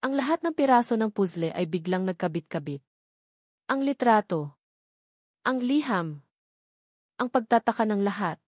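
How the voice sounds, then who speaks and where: A woman reads a scripted dramatic love story as narrator. Her pitch is average for a woman, and she measures her phrases with pauses for emphasis. The recording is very dry. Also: darkish tone, dry recording.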